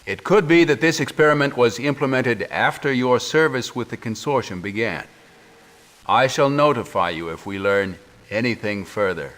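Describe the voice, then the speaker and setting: A man's voice: mid-range voice, expressive, a bit bright, natural voice. Formal, stern middle-aged military commander played by a man. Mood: formal, reserved. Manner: measured, formal, official. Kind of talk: video game cutscene briefing.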